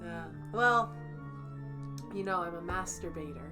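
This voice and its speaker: A woman's voice: lowish voice, a little slow, music in the background. A young woman chatting casually as a livestream guest, playful and teasing in manner.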